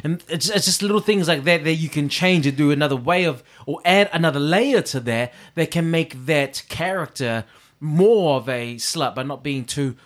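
A man co-hosting a casual review show, animated, talking fast over his partner. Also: a little high. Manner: animated, talks over others, acts out quoted bits. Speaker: podcast co-host reviewing a drag competition. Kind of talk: casual chat.

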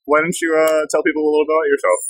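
A man hosting a podcast and interviewing a guest in a casual chat. He talks in a relaxed way and says "like" a lot. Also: a little high, very fast, breathy edge, dry recording, poor audio. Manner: relaxed, many fillers like "like". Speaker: podcast host. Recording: outdoor field recording.

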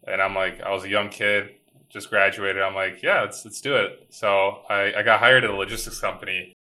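Man, 30s, bright tone, lowish pitch, relaxed, lots of "like". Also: moderate pace, rough recording. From Chicago, USA. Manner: easygoing, frequent word repeats and "like" fillers. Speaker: company founder, guest. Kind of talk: casual storytelling interview. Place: remote call from home.

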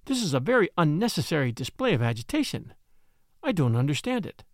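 A man reading aloud voices a distressed young lady, rising high into shrill, pleading horror. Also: big pitch swings, a little fast, no room sound, clean studio sound. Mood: cool.

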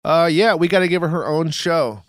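A man's voice: on the high side, moderate pace, no room sound, clean studio sound. A man co-hosting a casual podcast chat, throwing in sarcastic jokes. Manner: sarcastic, joking. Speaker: podcast co-host.

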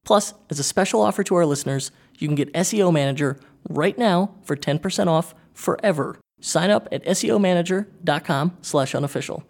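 Energetic podcast host guy, voice on the higher side, reads ads and chats. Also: fairly quick, few pauses. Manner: upbeat, energetic. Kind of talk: read ad spots and casual interview.

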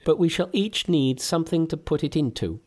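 A man's voice: fairly high pitch, lively intonation, brisk, darkish tone, pitched above the performer's own voice. A man voicing a cocky male monkey king who pretends to be a god, speaking in a grand, mock-solemn way. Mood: sly.

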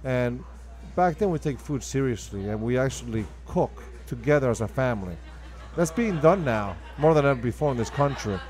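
A man's voice: mid-range voice, expressive, normal speed, a little mellow. A man from Argentina with an Argentine accent and Spanish as his first language, speaking emphatically and enthusiastically as an interview guest. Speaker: guest, restaurant owner. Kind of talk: conversational interview.

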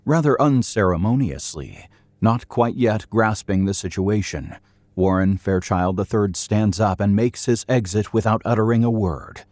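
A man narrating a scripted audio drama as a storyteller, in a deliberate, literary manner. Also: fairly low pitch, darkish tone, dry recording.

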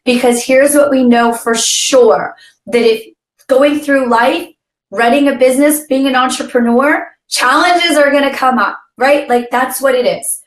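A woman's voice: sits high, unhurried, reverberant. A woman co-hosting a business podcast, with a scratchy voice today and an upbeat, laughing manner. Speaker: podcast co-host.

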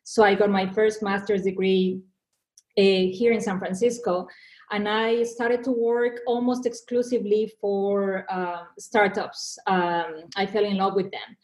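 A woman's voice: mid-range voice, flat delivery, unhurried, darkish tone. A woman from Mexico with a Mexican accent, a marketing executive speaking as a podcast guest in an earnest way, often restarting and repeating words. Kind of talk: podcast interview.

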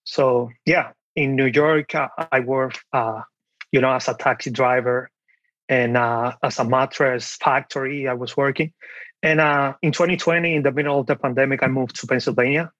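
A man's voice: mid-range voice, steady tone, moderate pace, fairly bright tone, no echo. Dominican-accented man on a dull, muffled connection. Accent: Dominican Spanish. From Dominican Republic. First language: Spanish. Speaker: podcast guest, online seller. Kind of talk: casual interview storytelling.

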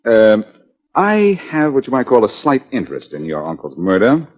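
A man's voice: medium pitch, huge pitch swings, moderate pace. A man playing a brash, wisecracking amateur detective in a 1940s radio drama, with a wry, needling and assured manner. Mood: needling, cocky. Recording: muffled, dull 1940s radio recording.

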